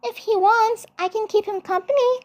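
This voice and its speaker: Cartoon superhero girl, very high childlike voice, sweet, bashful, hesitant. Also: steady tone, moderate pace, darkish tone. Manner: giggly, shy, hesitant.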